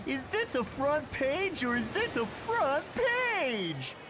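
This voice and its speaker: A woman voicing an excited, effusive admirer of a famous hero in a motion comic. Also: sits high, takes it slowly, heavy background noise, exaggerated voice. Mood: gushing. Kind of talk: dramatic motion comic voice acting.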